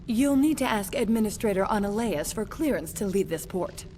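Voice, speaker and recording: A woman's voice: medium pitch, expressive, normal speed, warm tone, a little hiss or hum, close to an everyday voice. Woman as a polite, businesslike corporate assistant. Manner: formal, businesslike. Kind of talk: video game voice acting.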